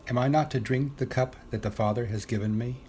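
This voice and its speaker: A man's voice: medium pitch, fairly even intonation, brisk, warm tone, a little hiss or hum. A man reading a Gospel passage aloud as scripted narration in a church service. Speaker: scripture reader. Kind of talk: solemn scripted Bible reading.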